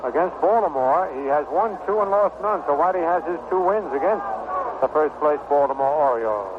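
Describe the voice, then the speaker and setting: A man doing radio baseball play-by-play in a 1960s broadcast style, speaking in short clipped phrases with pauses. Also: a little high, animated. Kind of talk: 1960s radio sports commentary. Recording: noisy narrow-band vintage 1960 radio broadcast.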